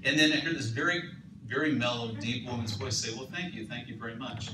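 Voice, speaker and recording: A man telling a lively story to a group, often laughing as he speaks. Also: medium pitch, a little fast. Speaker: speaker sharing his story. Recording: echoey room, distant mic, noisy.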